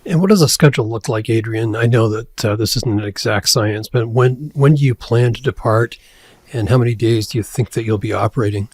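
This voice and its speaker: A man with a mid-pitched voice works as an interviewer, posing questions to a guest. His voice is recorded dry, with very little room sound. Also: fairly quick, no room sound. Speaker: interviewer, podcast host.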